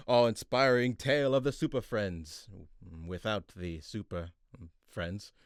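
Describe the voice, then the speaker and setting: A man's voice: medium pitch, no room sound, clean studio sound. Man as an exasperated, pompous radio announcer. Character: exasperated, pompous radio show announcer losing his temper. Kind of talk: old-fashioned serial announcer parody.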